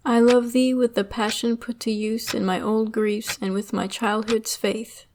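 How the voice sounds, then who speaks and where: A woman giving a dramatic reading of classic love poetry in measured phrases. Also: on the high side, darkish tone, slight background noise. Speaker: poetry reader.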